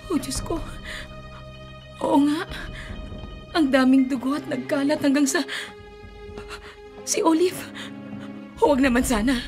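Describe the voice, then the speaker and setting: A woman's voice: sits high, a little slow, long pauses, darkish tone. A fretful older grandmother. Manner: fretful, breathless worry. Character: anxious grandmother and mother. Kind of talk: radio drama acting. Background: dramatic music.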